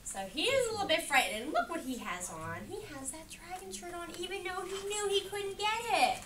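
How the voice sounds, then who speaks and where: A woman's voice: extremely high pitch, very animated, brisk, a crowd in the background. A woman reading a picture book aloud interactively to children, asking questions and affirming their answers as she goes. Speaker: read-aloud educator. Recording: distant mic in room with background noise.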